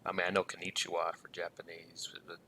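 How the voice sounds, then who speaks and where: A man's voice: sits low, monotone, fast talker, bright, forceful tone, slight background noise, rough recording. A man in his thirties giving casual commentary, hesitant and rambling, with many ums and trailing thoughts. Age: 30s. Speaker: reaction video host.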